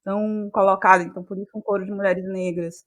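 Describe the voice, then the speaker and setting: A woman's voice: mid-range voice, level tone, fast. Bahian woman, reflective interview guest. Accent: Brazilian, Bahian. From Bahia, Brazil. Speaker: guest, playwright and actress. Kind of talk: conversational interview answers.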